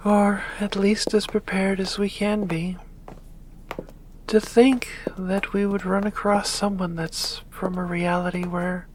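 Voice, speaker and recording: A woman playing a composed succubus demon doctor in an ASMR roleplay, breathy, measured and dryly teasing. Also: mid-range voice, a little mellow, a little hiss or hum, lightly acted. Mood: resigned, wry.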